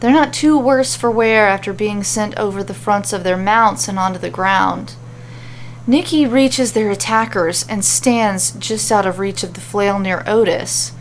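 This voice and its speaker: A woman's voice: medium pitch, unhurried. Woman narrating a fantasy story, noisy recording. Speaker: narrator and author reading a story.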